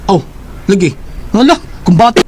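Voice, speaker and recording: An actor playing a teasing coworker and friend who gives love advice, in a radio drama. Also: male voice, sits high, lively intonation, moderate pace. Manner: teasing, advising. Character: teasing male coworker and buddy.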